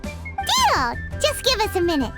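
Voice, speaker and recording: A woman voicing a tiny, childlike fairy companion in an anime game, chirpy, naive and awkwardly earnest, with a squeaky voice. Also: wildly swooping pitch, unhurried, loud music behind the voice, heavily acted. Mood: excited. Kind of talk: anime video game voice acting.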